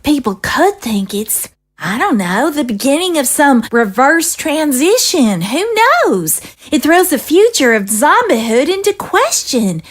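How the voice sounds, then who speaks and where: Anxious, high-voiced protective mother. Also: big pitch swings, a little slow. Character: anxious, protective, secretive mother of a young girl. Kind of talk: dramatic audio drama dialogue.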